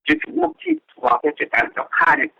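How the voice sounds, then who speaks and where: A man's voice: very high-pitched, huge pitch swings, brisk, creaky, bright, forceful tone, dry recording. A man talking informally as a participant on a telephone conference call. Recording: dull, lo-fi telephone conference line.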